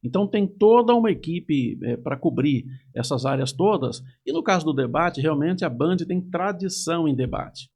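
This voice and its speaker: A middle-aged man from Campinas, a TV news anchor reminiscing in a measured, casual interview. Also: a little high, lively intonation, a little mellow, slightly rough. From Campinas, Brazil. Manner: reminiscing, measured, with frequent restarts.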